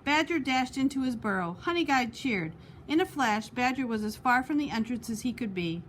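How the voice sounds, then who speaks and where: A woman's voice: a little high, animated, moderate pace, a little hiss or hum. A woman reading a children's picture book aloud expressively, as a storytime host. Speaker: storytime reader.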